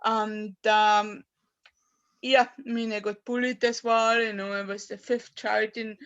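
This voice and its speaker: A woman in her fifties, a native German speaker from Austria, talks haltingly about her life as a poet, with many fillers and restarts. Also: medium pitch, flat delivery, fairly bright tone. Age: 50s. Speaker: poet at an open mic. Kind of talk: personal talk and poetry reading. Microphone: video call. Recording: dull lo-fi video call audio.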